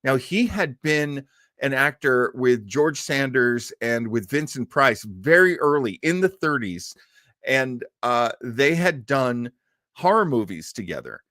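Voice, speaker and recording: A man with a very expressive, varied pitch hosts a casual stream at an easy, slow pace. He rambles and interrupts himself as he hunts for words. The sound is close and dry. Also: no echo. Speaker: online show host. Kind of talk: casual live-stream chat about old radio shows.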